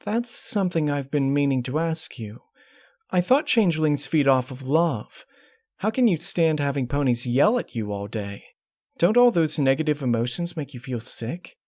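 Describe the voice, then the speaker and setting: A man's voice: a little high, sing-song, normal speed, a little mellow, dry recording, studio quality, pitched above the performer's own voice. A man voicing a distraught, controlling young female pony princess in a storybook reading, with a pleading, emotionally strained delivery. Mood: subdued, regretful. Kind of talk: dramatic storybook character reading.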